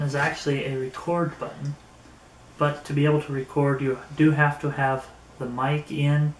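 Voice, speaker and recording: A man talks through a product demonstration in a casual, unscripted way. His delivery is flat and a little halting as he thinks aloud. The room around him is echoey, with faint noise underneath. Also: medium pitch. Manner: halting, thinking aloud while handling items. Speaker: product reviewer. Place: indoor room.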